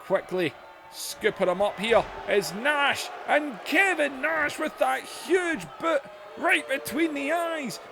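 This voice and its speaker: A man commentating a wrestling video game, shouting at big moves as his voice goes hoarse. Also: very high voice, animated, slow, music in the background. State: voice going hoarse.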